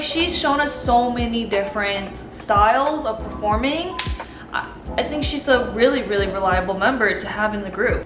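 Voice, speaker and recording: A young woman talks eagerly and casually, with lots of "like" and a voice pitched a little high. Her voice sits in a roomy, echoing space. Soft music plays underneath. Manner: chatty, enthusiastic, lots of "like". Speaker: vlogger reviewing a TV show. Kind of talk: casual chat. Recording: roomy echo with background music. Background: soft background music.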